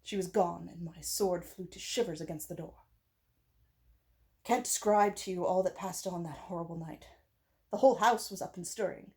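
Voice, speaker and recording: A woman reading a gothic story aloud as dramatic narration, with pauses and phrases that drop to a hush. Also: fairly low pitch. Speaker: audiobook reader.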